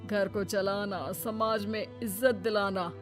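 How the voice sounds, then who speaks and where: A woman's voice: medium pitch, fairly even intonation, slow pace, over music, natural voice. A woman voicing a firm, protective older father-in-law, principled and caring, in a moral story. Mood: reproachful.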